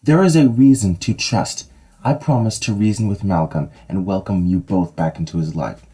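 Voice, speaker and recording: A woman's voice: very deep voice, normal speed, mellow, breathy edge. A woman voicing a worried, questioning companion who speaks in a reproachful way. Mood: reassuring. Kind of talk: amateur audio drama acting.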